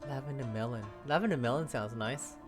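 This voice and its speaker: A woman's voice: extremely low pitch, brisk. A woman from Australia giving chatty, informal commentary as she plays through a video game. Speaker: game streamer. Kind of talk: casual reaction commentary over a game. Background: game music.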